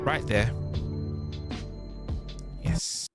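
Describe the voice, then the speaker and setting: A man's voice: very slow, loud music behind the voice. A man hosting a game livestream, chatting directly to viewers. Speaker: game streamer, host. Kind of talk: livestream sign-off chat and story reading.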